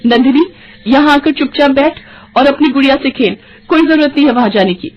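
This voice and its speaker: A woman's voice: sits high, brisk, dull audio, lightly acted. Woman as a humble, worried poor mother. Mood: anxious, deferential.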